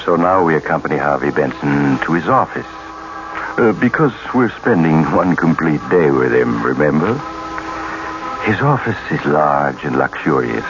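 Very deep-voiced sinister radio storyteller. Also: male voice, exaggerated voice. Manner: theatrical, wryly ominous, addresses listener. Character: the Mysterious Traveler, sinister, suave, teasing male storyteller. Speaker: host and narrator. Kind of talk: 1940s radio mystery narration. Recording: noisy, muffled old-time radio recording.

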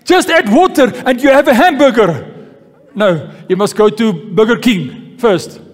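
A man's voice: very high voice, expressive, a little slow. A man pastor preaching a sermon and reading scripture, calling out "Amen?" to the congregation and repeating key phrases. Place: church hall. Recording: long church-hall reverb with faint music.

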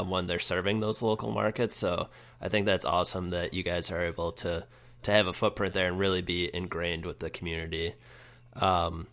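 A man's voice: deep voice, fairly bright tone. A man co-hosting an interview, asking questions in an easygoing conversational way. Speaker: podcast co-host.

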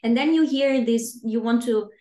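A woman's voice: a little high, normal speed, warm tone, echoey room. A woman in her thirties, originally from Austria, speaking as a parenting coach guest on a podcast and explaining her ideas thoughtfully. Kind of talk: podcast conversation.

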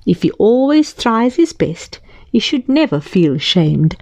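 A woman voicing a joyful, gentle mother who reassures her son in a read-aloud storybook. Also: medium pitch, sing-song, dry recording, voice pushed up. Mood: reassuring. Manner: warm, reassuring, slow and emphatic.

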